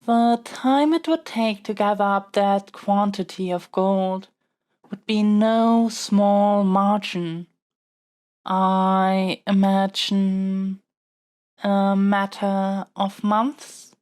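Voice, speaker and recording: A woman's voice: mid-range voice, fairly even intonation, extremely slow, darkish tone, smooth. A woman playing a young royal princess in an audio roleplay, speaking in a formal, stately, politely pleading manner. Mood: coaxing, diplomatic. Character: young royal princess, haughty yet smitten, kidnapped by dragon. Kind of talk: scripted audio roleplay acting.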